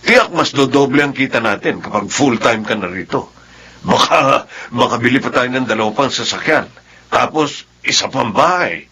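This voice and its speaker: A man's voice: mid-range voice, lively intonation, moderate pace, sharp tone, slightly rough, heavily acted. A man playing an ambitious, boastful middle-aged husband with a Filipino accent in a drama. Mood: eager, ambitious. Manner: boastful, eager.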